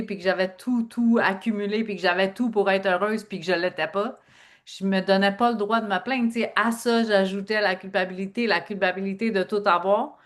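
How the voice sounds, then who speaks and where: A middle-aged woman from Quebec with a Québécois French accent, a guest telling her life story earnestly in an interview and leaning on filler phrases. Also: mid-range voice. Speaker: guest, speaker and author. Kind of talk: personal interview talk.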